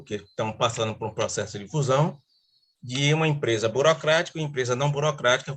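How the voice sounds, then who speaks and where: A man's voice: medium pitch, a bit bright. A man moderating a panel over a video call, formal and hesitant with filler sounds.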